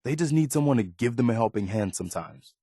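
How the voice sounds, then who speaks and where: A man playing an earnest, weary and idealistic militia officer in a post-apocalyptic video game, speaking in a measured way. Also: mid-range voice, animated, dark tone, slightly rough, no room sound, clean studio sound. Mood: hopeful, encouraging. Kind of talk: video game character dialogue.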